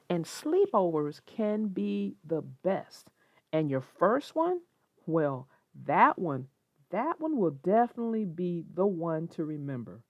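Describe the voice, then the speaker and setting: A woman's voice: lowish voice, no room sound. A woman narrating and hosting a children's read-aloud, telling and discussing the story expressively. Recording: dull lo-fi sound, soft guitar music.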